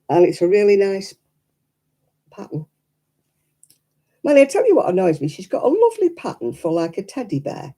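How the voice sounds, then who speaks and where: A British woman with a croaky, hoarse voice chats casually to live viewers in a wry, teasing way. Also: on the low side, mellow. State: hoarse after illness. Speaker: livestreaming crafts vlogger.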